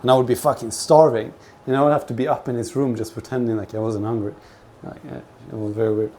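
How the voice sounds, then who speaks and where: A man from Sweden chatting casually on a livestream from his kitchen, telling personal stories in a rambling, halting, sweary way. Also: mid-range voice, fairly even intonation, a little mellow. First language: not Swedish; grew up with several languages. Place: home kitchen while cooking. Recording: clear kitchen noise, frying food. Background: frying food, kitchen ambience.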